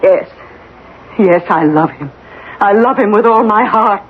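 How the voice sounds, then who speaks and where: A woman's voice: mid-range voice, unhurried, husky. An actress playing a stern, jealous middle-aged witch mother, scolding indignantly in melodramatic vintage radio drama style. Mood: desperate, defiant. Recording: tinny, noisy old radio recording.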